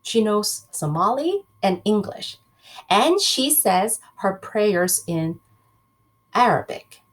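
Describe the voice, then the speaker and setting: A woman reads a children's book aloud as a teacher would to her class. Her pitch rises and falls in an animated way at an unhurried pace, with a husky edge. She often steps out of the text to put questions to the pupils. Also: low-quality recording. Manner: expressive, addresses children directly with questions.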